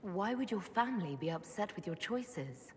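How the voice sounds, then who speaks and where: An actress playing a composed, inquisitive heroine in a video game, speaking in a measured, direct way. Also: female voice, medium pitch, expressive, long reverb tail, a little hiss or hum, lightly acted. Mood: calm, curious. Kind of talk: video game dialogue acting.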